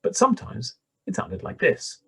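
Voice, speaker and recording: A man reading a children's story aloud in an expressive, deliberate manner with dramatic pauses. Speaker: storybook reader.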